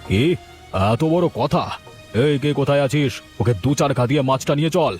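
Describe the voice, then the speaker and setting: A man voicing a scheming, greedy village headman with a blustering, commanding manner in an animated story. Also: mid-range voice, fairly quick, natural voice. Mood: bullying, gloating. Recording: faint music behind the voice.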